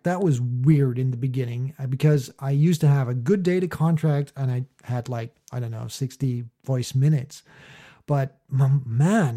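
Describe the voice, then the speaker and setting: A man's voice: medium pitch, mellow. A man hosting a casual explainer monologue about his own experience, conversational and emphatic with wry asides. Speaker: host, small business owner.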